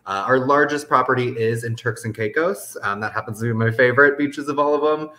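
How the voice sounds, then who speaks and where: A man speaking as an interview guest, giving an informal, explanatory sales pitch in a conversational way. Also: brisk, bright, forceful tone. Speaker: interview guest, resort business development manager.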